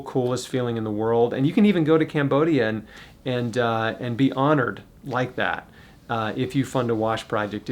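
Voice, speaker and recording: An American man speaking informally and off the cuff as a video host, earnestly encouraging listeners to support a cause. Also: medium pitch. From United States. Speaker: YouTube host making a charity fundraising appeal. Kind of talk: casual, unscripted appeal to camera.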